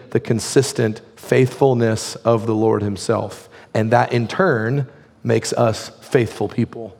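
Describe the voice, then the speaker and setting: A male pastor preaches in a relaxed, conversational way, leaning on "like" as he talks. His voice is hoarse and gravelly and sits at an ordinary pitch. The sound carries a long reverb from a large hall. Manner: conversational, frequent "like" fillers. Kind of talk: sermon.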